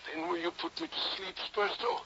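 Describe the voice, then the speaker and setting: An actor in an old-time radio drama playing a delirious, irritable colonial man who is haunted and fearful, speaking haltingly. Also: high-pitched, sing-song, creaky, exaggerated voice. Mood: haunted, pleading. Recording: noisy, dull vintage radio recording.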